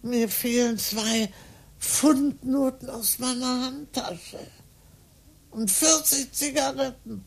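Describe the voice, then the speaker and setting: An actress playing a confused, forgetful old woman in a psychiatric ward, rambling in a creaky, breathy voice in a radio play. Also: high-pitched, slow, heavily acted. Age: older. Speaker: patient.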